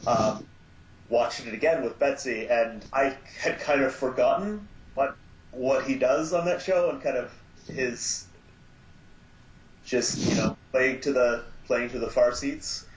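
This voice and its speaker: A man chatting as a podcast guest, talking haltingly with many fillers and restarts. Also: mid-range voice. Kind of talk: casual podcast chat. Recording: roomy, dull lo-fi sound with faint noise.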